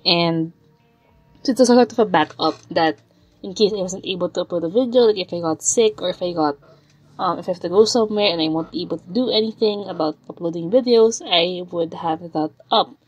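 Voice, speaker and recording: A young woman with a raspy voice from a lingering cold, chatting in a rambling way as the host of her art channel. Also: lowish voice, fairly quick, muffled recording, soft background music. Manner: rambling, chatty, with fillers and sighs. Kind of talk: casual chat over drawing footage.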